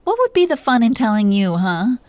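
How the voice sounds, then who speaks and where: A woman's voice: on the high side, sing-song, a little fast, mellow. A woman playing a protective, doting dragon queen who teases her lover tenderly in an intimate roleplay. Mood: wistful, affectionate. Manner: tender, playfully teasing, breathy whispers at times. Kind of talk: intimate roleplay audio, soft acting.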